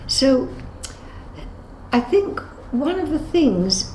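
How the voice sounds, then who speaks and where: A woman's voice: high voice, very animated, drawn-out words, a little mellow. A woman in her eighties giving personal testimony in an interview, telling her memories conversationally and correcting herself as she goes. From England. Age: 80s. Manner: conversational storytelling with self-corrections and acted-out dialogue. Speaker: Holocaust survivor giving testimony. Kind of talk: unscripted personal reminiscence in an interview. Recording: roomy echo, clear background noise.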